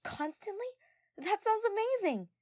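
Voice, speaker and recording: A woman voicing an energetic, devoted teenage girl in an audio story, earnest and gushing and easily flustered. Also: extremely high pitch, unhurried, no echo, studio quality, pitched above the performer's own voice, exaggerated voice. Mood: amazed, dismayed.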